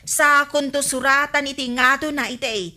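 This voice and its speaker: Bright-voiced, feisty Ilocano village woman. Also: high voice, fairly even intonation, normal speed. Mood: indignant, scheming. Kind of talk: Ilocano radio comedy-drama acting.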